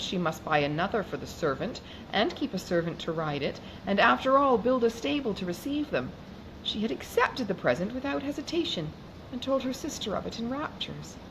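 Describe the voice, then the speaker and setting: A woman narrating a classic novel in a measured, expressive reading. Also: medium pitch. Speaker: audiobook reader. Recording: noisy background hiss.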